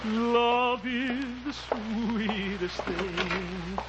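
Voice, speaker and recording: An actor playing a jovial, self-satisfied small-town bachelor in an old-time radio comedy, with a folksy, chuckling, self-assured manner. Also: male voice, high voice, level tone, drawn-out words. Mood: breezy, self-assured. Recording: muffled, noisy old-time radio recording.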